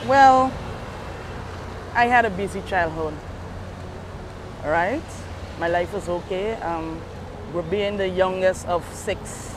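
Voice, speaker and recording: A woman's voice: on the low side, slow pace, pauses often. A woman police sergeant with a Guyanese accent, answering interview questions outdoors in short, matter-of-fact replies. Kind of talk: street interview. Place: outdoors by a street junction. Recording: outdoor street noise.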